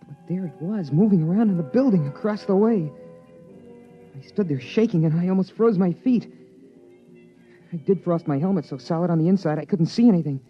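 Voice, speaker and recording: A man's voice: sits high, expressive, a little fast, faint music behind. An actor playing a curious, anxious boy who narrates his own story in a 1950s radio drama. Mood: uneasy. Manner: earnest, breathless boyish delivery. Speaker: narrator and young son. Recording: muffled 1950s radio broadcast.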